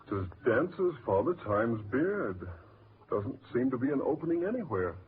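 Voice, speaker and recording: An actor playing a curious, sceptical gentleman in the genteel, expressive style of a 1940s radio horror drama. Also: mid-range voice, moderate pace, breathy edge. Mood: untroubled. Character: curious, sceptical gentleman leading companions into eerie woods. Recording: muffled, hissy 1940s radio recording.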